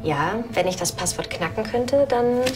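An actress playing a businesslike police investigator, factual and efficient, in a television crime comedy. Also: female voice, mid-range voice, animated, a little slow, over loud music, natural voice. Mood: matter-of-fact.

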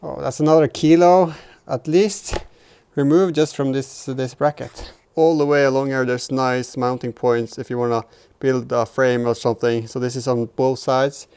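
A man, probably in his thirties, giving a casual hands-on workshop narration in a halting way as he thinks aloud while working. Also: medium pitch, steady tone, darkish tone, clear, resonant tone. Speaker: host of a DIY vehicle build vlog. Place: indoor garage workshop, in and around an open car.

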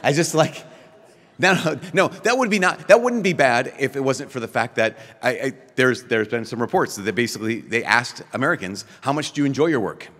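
A middle-aged man, a priest, giving an animated keynote at a podium, full of rhetorical questions and quick restarts. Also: mid-range voice, in a large hall. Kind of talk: lively conference keynote. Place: large conference hall, at a podium. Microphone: podium microphone.

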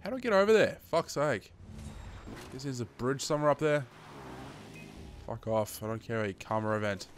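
A man's voice: medium pitch, lively intonation, brisk, pauses often, slight background noise. A man in his twenties with an Australian accent gives casual, chatty, sweary gameplay commentary into a headset, a little sniffly from hay fever. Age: 20s. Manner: sweary, chatty, addressing viewers as guys. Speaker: gaming video host.

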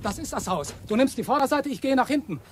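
An excitable male patrol officer in a radio crime play. His voice is pitched extremely high and swings widely, with clear noise around it. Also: fast talker. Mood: agitated. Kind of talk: radio crime drama acting. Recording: noisy action-scene background.

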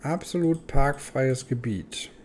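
A man's voice: medium pitch, lively intonation, slow pace, a little mellow, a little hiss or hum. A man giving casual let's play commentary, thinking aloud and muttering questions to himself. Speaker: gaming host.

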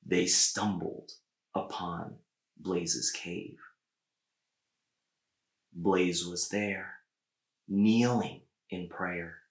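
Male storybook narrator, thirties, lowish voice, deliberate phrasing, recorded in an echoey room. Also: fairly even intonation, gravelly. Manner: measured, deliberate phrasing with pauses. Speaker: storybook reader. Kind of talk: read-aloud children's story narration. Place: small indoor room with bookshelves.

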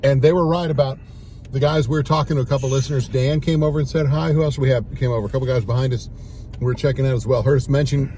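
A man in his forties hosting a casual food review from a parked car, loud, excitable and jokey. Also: medium pitch, quick pace, a little hiss or hum. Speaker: radio host.

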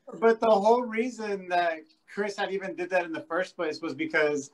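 A man's voice: high voice, normal speed, slight background noise, low-quality recording. A man co-hosting a casual interview over a video call, chummy and eager to chime in with details. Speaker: podcast co-host. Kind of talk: casual chat. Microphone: webcam on a video call.

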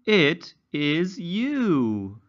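Very slow, animated male children's teacher. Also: fairly high pitch, highs cut off. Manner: carefully enunciated, instructional, exaggerated intonation. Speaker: English teacher for young learners. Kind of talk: slow read-along lesson narration for children.

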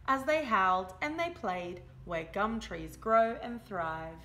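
A young woman reads a picture book aloud to children in an expressive, emphatic storytelling style. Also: mid-range voice, slow pace, slight background noise. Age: young adult. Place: small indoor room by a window.

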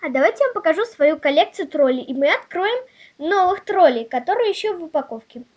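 High-pitched female unboxing vlogger. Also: very animated, a little fast. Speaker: toy unboxing channel host. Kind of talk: casual unboxing vlog chat.

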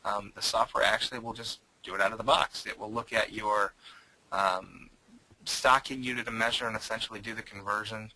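A man presenting software in a webinar, answering audience questions with frequent hesitations. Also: on the low side, fairly even intonation, a little fast, rough recording. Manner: hesitant, many um fillers.

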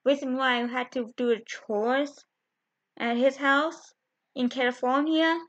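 A young woman chatting to her webcam from a small bedroom, halting, with many fillers and restarts. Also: sits high, fairly even intonation. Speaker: vlogger. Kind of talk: casual chat to camera. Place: small bedroom with window blinds. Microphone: webcam at close range. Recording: dull lo-fi webcam audio.

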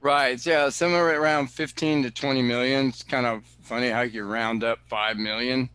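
A man, director of a cave preserve, answering questions on a video call in an explanatory way, often pausing with fillers and restarting sentences. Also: mid-range voice, steady tone, sharp tone, clear, resonant tone. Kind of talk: answering questions in an online talk.

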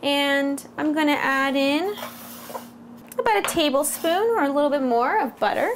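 High, lively American woman cooking host. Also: normal speed, a little hiss or hum. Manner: clear step-by-step explaining. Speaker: cooking host, food blogger. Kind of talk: recipe demonstration to camera. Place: home kitchen, indoors.

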